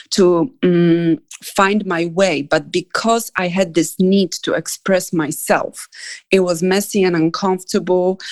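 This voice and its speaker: A woman's voice: fairly low pitch, dry recording. A woman from Poland with a Polish accent, a coach telling her story frankly and emphatically in English, her second language. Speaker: coach and trainer. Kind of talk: personal story in audio chat.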